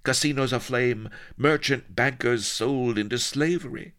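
A man's voice: medium pitch, unhurried, fairly bright tone, pitched above the performer's own voice, natural voice. A man voicing a passionate, lovesick pirate queen in a story, with theatrical, melodramatic archaic speech. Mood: seductive, rapturous.

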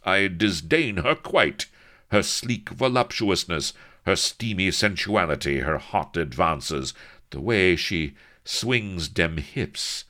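A man's voice: low voice, lively intonation, takes it slowly, bright, forceful tone, pitched above the performer's own voice, exaggerated voice. A man voicing a pompous, naive young sea captain who speaks in mock-archaic phrasing.

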